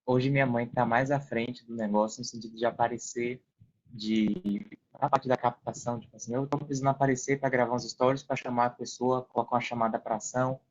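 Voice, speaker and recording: A man's voice: mid-range voice, steady tone, brisk, dull audio. A young Brazilian man talking casually as an interview guest, explaining things and often tagging on questions. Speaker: podcast guest, online affiliate marketer working in support.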